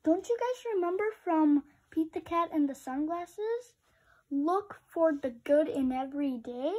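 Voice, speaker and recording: A woman's voice: sits high, darkish tone, poor audio. A woman reading a picture book aloud, adding informal, chatty, childlike asides to young listeners. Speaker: read-aloud host.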